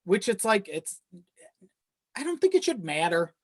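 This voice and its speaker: A man's voice: high voice, sing-song, a little slow. A man speaking as a podcast guest in casual, reflective chat, thinking aloud as he goes. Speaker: podcast guest, audio drama creator.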